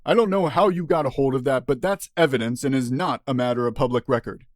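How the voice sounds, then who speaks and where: A man's voice: mid-range voice, fast, no room sound, clean studio sound, lightly acted. A man playing a stern, dismissive police detective giving formal official answers in an audio drama. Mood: angry. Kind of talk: audio drama news interview.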